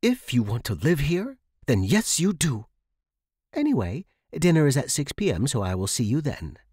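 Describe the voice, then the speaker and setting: Man voicing a stern woman with lively, swooping pitch. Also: moderate pace, husky, no room sound, studio quality. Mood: firm. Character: stern, bored female housemate laying down house rules.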